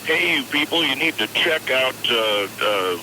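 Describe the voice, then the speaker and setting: A man's voice: mid-range voice, animated, unhurried. A man calling in to a radio show, chatting casually and with enthusiasm. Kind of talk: casual phone-in chat. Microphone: telephone. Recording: noisy phone call on radio.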